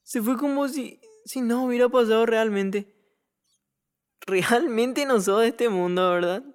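Timid, excitable Paraguayan boy played by a woman. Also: a little high, unhurried, clear, resonant tone, natural voice. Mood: awed, subdued. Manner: hesitant, stammering starts, expressive. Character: timid, excitable ten-year-old boy, kind to animals. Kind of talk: audio drama acting.

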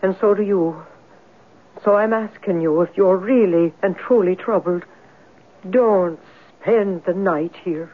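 An actress playing an anxious, stubborn rooming-house landlady in an old radio drama, speaking in a hesitant, insistent way with a husky voice. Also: medium pitch, slow pace, a little hiss or hum, lightly acted. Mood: worried, pleading. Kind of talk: old-time radio acting. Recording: narrow, phone-like old radio recording.

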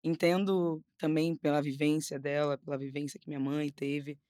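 A young woman from Rio with a Carioca accent, chatting as a podcast guest, animated, often restarting and repeating words. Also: sits low, normal speed, dry recording, clean studio sound. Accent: Carioca Portuguese. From Rio de Janeiro, Brazil. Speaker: model and influencer, podcast guest. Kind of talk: casual interview chat.

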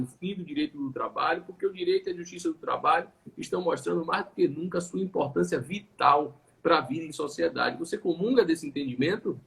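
A labor judge from Salvador, a man with a voice pitched a little above most men's. He talks in a reflective, conversational way in a live online chat. He sometimes stops and restarts his phrases. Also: fairly bright tone, poor audio. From Salvador, Bahia, Brazil.

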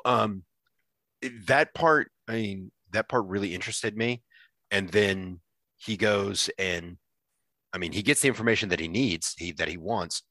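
A man co-hosting a podcast, talking casually about a series as a fan, often pausing and restarting his thoughts. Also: low-pitched, bright, forceful tone, no echo. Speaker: podcast co-host.

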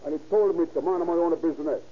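An actor playing an excitable, defensive immigrant witness with in a 1930s radio drama. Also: male voice, on the high side, quick pace, close to an everyday voice. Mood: insistent. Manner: emphatic, defensive. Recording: muffled, noisy 1930s radio recording.

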